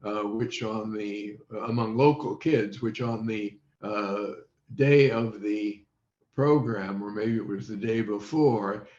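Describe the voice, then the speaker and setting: A man in his eighties or older reminisces as an interview guest, halting and measured, with long pauses, on a video call. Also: on the low side, darkish tone. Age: 80s or older. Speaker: interview guest, former radio quiz show child panelist. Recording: dull, roomy video call audio.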